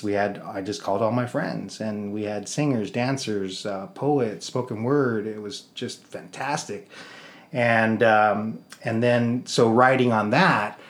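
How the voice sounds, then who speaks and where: A middle-aged man with an American accent, talking as an interview guest in a casual, rambling storytelling way. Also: fairly low pitch. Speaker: interview guest, theater producer and actor.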